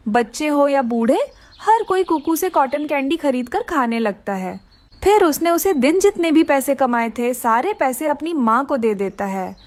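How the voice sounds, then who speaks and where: A woman narrating a scripted children's story. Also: sits high.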